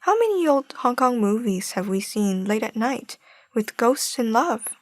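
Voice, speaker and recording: A woman's voice: fairly high pitch, very animated, unhurried, no echo. A young woman playing a confident, chatty young singer in an audio drama. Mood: animated, chatty. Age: young adult. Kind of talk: scripted audio drama dialogue.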